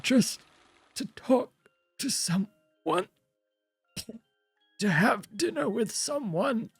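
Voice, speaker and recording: A streamer voicing a wounded, lonely male monster in a story game, pleading and bitter in a halting, stammering, drawn-out way. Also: medium pitch, lively intonation, breathy edge, no echo, faint music behind, pitched above the performer's own voice, full character voice. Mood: sorrowful, bitter.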